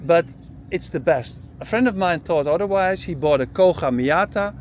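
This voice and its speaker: Higher-pitched Dutch-accented man vlogging outdoors. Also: moderate pace, a little hiss or hum. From Netherlands. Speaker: travel vlogger and interviewer. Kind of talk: casual street chat. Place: outdoors by parked camper vans.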